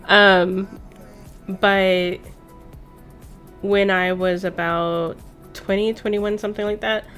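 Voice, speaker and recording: A woman's voice: medium pitch, flat delivery, slow pace, a bit bright. A woman in her thirties chatting casually and telling personal stories in an informal, rambling, sweary way, like a streamer. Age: 30s. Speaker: streamer hosting a chat stream. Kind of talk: casual chat, personal storytelling. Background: background music.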